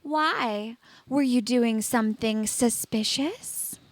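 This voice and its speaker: A woman plays a teenage girl ghost from a storybook, high-voiced and full of self-pity. She is whiny, sulky and theatrical, turning every line into melodrama. The sound is close and dry. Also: takes it slowly, no echo. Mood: sulky, coy.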